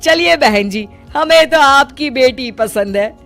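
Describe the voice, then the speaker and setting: A woman's voice: sits high, lively intonation, slow pace, a bit bright, soft background music, full character voice. A woman voicing a bossy, fretful middle-aged mother-in-law with a commanding manner in a cartoon story. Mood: approving. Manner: commanding, scolding.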